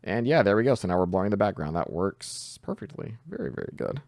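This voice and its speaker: Man, deep voice, creaky fry, casual tutorial presenter, informal think-aloud delivery, close dry sound. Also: very animated, fast, a little mellow, no room sound. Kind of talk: casual screen-recorded coding tutorial.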